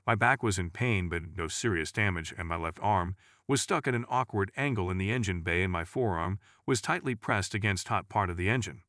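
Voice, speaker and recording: A male storyteller narrates a scripted first-person account. His voice sits fairly low in pitch. The recording is dry, with no audible room around the voice. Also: brisk, few pauses, fairly bright tone, no echo.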